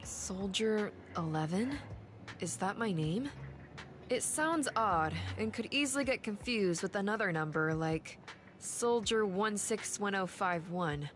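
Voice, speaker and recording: A woman playing a confused, blunt young soldier with amnesia in a video game, halting and disdainful. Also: medium pitch, animated, background noise, close to an everyday voice. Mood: dazed. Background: game music.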